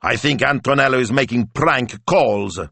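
A man's voice: medium pitch, moderate pace, gravelly, sharp tone, no echo, pitched above the performer's own voice. A man voicing a grumpy, self-important middle-aged boss who grumbles bossily in an audiobook. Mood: indignant. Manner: grumbling, bossy, indignant.

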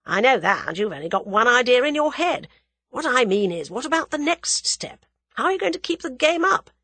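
A woman voicing a sensible, wry young English man in a dramatised audiobook, with a measured, sceptical manner. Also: high voice, very animated, fairly bright tone, no room sound, pitched above the performer's own voice, exaggerated voice. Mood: serious, doubtful. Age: young adult.

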